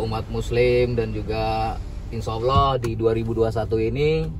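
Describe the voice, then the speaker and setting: A man's voice: on the low side, darkish tone, a little hiss or hum. Chatty man vlogging on a busy street. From Indonesia. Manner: chatty, rambling, repeats tag questions. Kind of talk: casual walking vlog. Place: busy city street outdoors. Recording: distant outdoor street recording.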